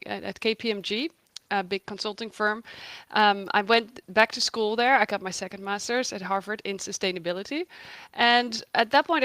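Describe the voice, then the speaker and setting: A woman's voice: mid-range voice, a bit bright, no echo. A woman from the Netherlands explaining her work as an economist in a conversational interview, thoughtful and emphatic. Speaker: guest, economist and researcher.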